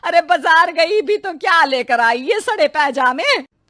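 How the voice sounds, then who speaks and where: A woman's voice: very high voice, expressive, a little slow, bright, forceful tone, dry recording, studio quality, exaggerated voice. A woman voicing a fussy, dramatic mother-in-law in a cartoon story, lamenting and sarcastic.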